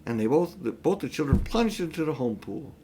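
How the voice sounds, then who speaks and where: An older man narrating a children's storybook in an expressive, emphatic storytelling manner. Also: a little high, a little fast, slight background noise. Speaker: audiobook reader.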